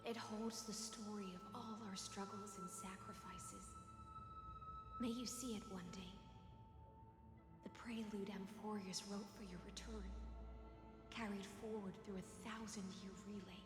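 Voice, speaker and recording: A woman's voice: medium pitch, fairly even intonation, lightly acted. A woman playing a serene, echoing game guardian. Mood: wistful, solemn. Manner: measured, lyrical, solemn. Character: serene, mysterious female guardian, wistful and poetic. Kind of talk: dramatic video game voice acting. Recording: echoing reverb under clear music.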